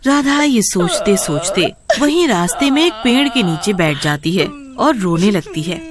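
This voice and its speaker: A woman's voice: mid-range voice, very animated, unhurried, a crowd in the background. A woman storyteller narrating a scripted tale.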